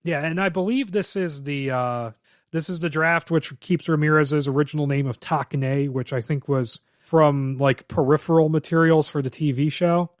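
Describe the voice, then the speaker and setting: A man co-hosting a casual podcast, walking through a script's plot in detail and offering frank, opinionated verdicts. His voice sits a little high for a man. The recording is close and dry, with no room sound. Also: normal speed, no room sound. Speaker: podcast co-host.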